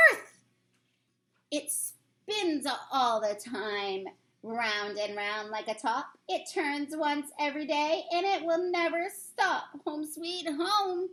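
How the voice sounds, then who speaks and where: A woman teacher reading a rhyming picture book aloud to children in an expressive, sing-song storybook manner. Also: sits high.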